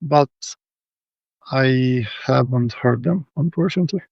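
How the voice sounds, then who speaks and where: A man with a Romanian accent speaks in a gravelly, creaky voice at a middling pitch. He gives a casual technical rundown in a halting, hesitant way. The sound is dull and lo-fi, with very little room around it. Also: no echo. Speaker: guest, hi-fi show visitor.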